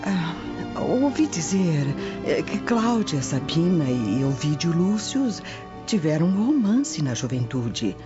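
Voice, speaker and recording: A woman's voice: sits low, big pitch swings, slow pace, exaggerated voice. A woman playing a sympathetic, gossipy Roman noblewoman in a radio drama, confiding with small hesitations. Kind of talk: Brazilian radio drama acting. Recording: muffled radio drama with music.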